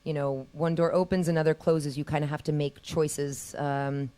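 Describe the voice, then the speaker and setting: A woman's voice: deep voice, level tone, normal speed, dark tone. A woman musician chatting casually in a TV studio interview, easygoing and sprinkling in French words. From Texas, USA. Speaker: musician guest.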